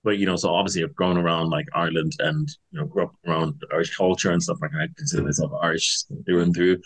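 A man in his thirties, originally from Zimbabwe, chats as an interview guest. His voice sits very low and he talks quickly. He loops through fillers and restarts as he thinks aloud. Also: steady tone. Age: 30s. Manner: loose and chatty, many fillers and restarts. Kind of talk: casual interview chat.

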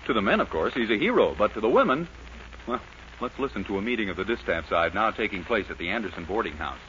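Fast, animated male radio announcer. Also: on the low side. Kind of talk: 1940s radio scripted narration. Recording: noisy, muffled 1940s radio broadcast.

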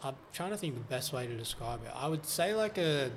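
A young man, born in Australia, chatting as a podcast guest, relaxed and hesitant, searching for words. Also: medium pitch, fairly quick, a little hiss or hum. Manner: hesitant, many fillers and restarts, relaxed. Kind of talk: casual podcast chat.

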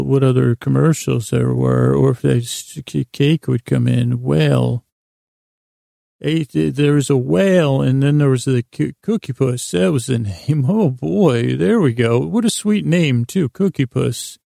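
A middle-aged man hosting a sleep podcast, delivering a meandering, halting bedtime monologue that trails off and circles back with whimsical self-deprecation. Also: medium pitch, gravelly, dark tone, no room sound, studio quality.

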